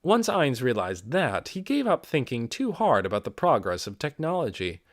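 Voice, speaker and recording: Expressive man narrating, very lively pitch. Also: normal speed, dry recording, studio quality. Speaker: amateur audiobook reader. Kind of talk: scripted fantasy novel narration.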